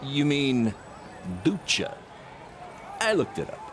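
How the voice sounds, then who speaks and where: A man acting as a breezy, excitable wrestling promoter and sidekick in a video game, with a joking, casual delivery. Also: mid-range voice, takes it slowly, background noise. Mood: upbeat. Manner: joking, animated. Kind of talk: video game cutscene dialogue, casual banter.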